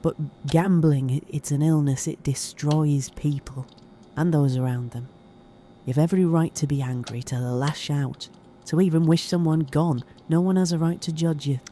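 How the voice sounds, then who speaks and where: A woman acting as a no-nonsense but compassionate senior detective in an audio drama, steady and plain-spoken. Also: low voice, normal speed, slight background noise, natural voice. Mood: frank, consoling.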